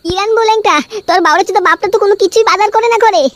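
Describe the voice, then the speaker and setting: A woman's voice: very high voice, rapid-fire, a bit bright, no room sound. A woman voicing a fed-up village mother in a comic cartoon, complaining coarsely and indignantly. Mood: aggrieved. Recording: faint background noise.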